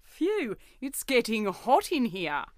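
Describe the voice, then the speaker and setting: A woman playing a chirpy daytime talk show host in a comedy radio play, brisk and presenterly. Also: a little high, big pitch swings. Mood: upbeat, presenterly.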